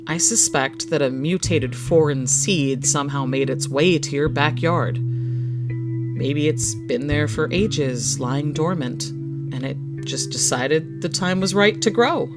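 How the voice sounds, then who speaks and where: A narrator voicing a curious, patient female scientist who explains in a measured way, as dialogue in a short story. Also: mid-range voice, close to an everyday voice. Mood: intrigued. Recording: music behind the voice.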